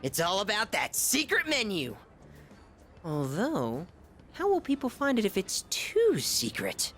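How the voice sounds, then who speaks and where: Gluttonous, pompous high-school boy for a game; high, bombastic, very animated delivery. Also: a little slow, faint music behind, heavily acted. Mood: excited, showy. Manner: bombastic, dramatic swings between squeals and low asides. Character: gluttonous, pompous, theatrical male high-school student, self-styled gourmet. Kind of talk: video game voice acting.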